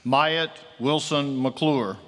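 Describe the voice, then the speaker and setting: A man reading graduates' names at a commencement in a slow, measured, ceremonial manner at a podium microphone. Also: medium pitch, a bit bright. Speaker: commencement name reader. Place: large auditorium with long reverb. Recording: long hall reverb.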